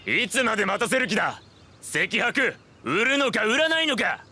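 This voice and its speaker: An actor playing a bullying, mocking thug debt collector with rough, threatening speech in an anime game dub. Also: male voice, high voice, very animated, moderate pace, sharp tone, a little hiss or hum, full character voice. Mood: menacing. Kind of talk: Japanese anime game dubbing.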